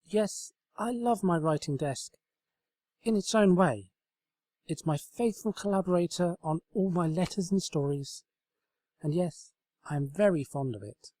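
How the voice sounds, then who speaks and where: A man's voice: high voice, measured pace, dark tone, no room sound, voice pushed up. Guy doing a sweet girly unicorn pony, voice pushed way up, earnest n a bit flustered, funny story, dry sound. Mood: gushing, fond. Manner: gushing, sincere, flustered. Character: earnest, kind-hearted young female unicorn pony. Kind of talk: comedic storybook reading.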